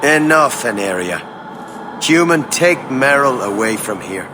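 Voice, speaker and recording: A man's voice: mid-range voice, big pitch swings, measured pace, music in the background. A man playing a grieving elven hunter in a fantasy game, commanding yet emotional. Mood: stern, sorrowful. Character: stern, grieving male elven clan hunter. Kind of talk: dramatic video game voice acting. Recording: clear background ambient noise.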